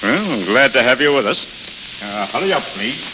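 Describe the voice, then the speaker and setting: An actor playing a well-to-do, pompous and easily flustered gentleman traveler in a 1930s radio drama. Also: medium pitch, animated, moderate pace, close to an everyday voice. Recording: reverberant, muffled, noisy old radio broadcast.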